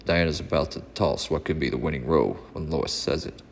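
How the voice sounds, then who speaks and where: A man's voice: extremely low pitch, fairly quick, creaky. A man hosting a comic book channel, talking casually and narrating a read-along. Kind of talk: casual vlog talk and dramatic comic read-aloud narration. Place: indoor room. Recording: echoey reverberant room, audible background noise.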